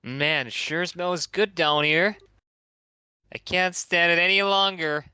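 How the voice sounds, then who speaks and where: A man's voice: a little high, slow pace, bright, forceful tone, smooth, voice pushed up, exaggerated voice. A man voicing a cheerful, impulsive teenage girl adventurer in an amateur read-through of a video game, with an exclamatory, bubbly delivery. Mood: hungry, delighted. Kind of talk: amateur voiced video game dialogue reading.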